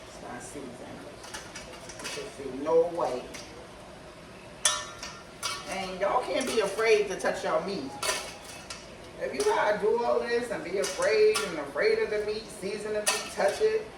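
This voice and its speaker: A woman with a slightly hoarse voice casually talking her viewers through a cooking recipe. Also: mid-range voice, measured pace, long pauses, noisy background. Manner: relaxed, chatty, talks to viewers as y'all. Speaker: cooking vlogger. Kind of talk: casual cooking tutorial chat. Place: home kitchen. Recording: roomy kitchen, far from the mic, loud kitchen clatter.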